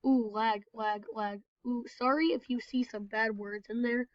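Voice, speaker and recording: A woman's voice sits a little high and sounds stuffed up and nasal from a cold, chatting in a loose, rambling way while she plays. The recording is dull and lo-fi, with no room sound at all. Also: fairly even intonation, unhurried, no room sound. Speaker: gameplay video host. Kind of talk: casual game commentary.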